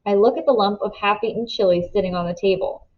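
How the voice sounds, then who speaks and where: A woman narrates a children's book in an expressive read-aloud style. Her pitch is average for a woman. The recording is slightly dull and lo-fi. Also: quick pace. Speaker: storybook reader.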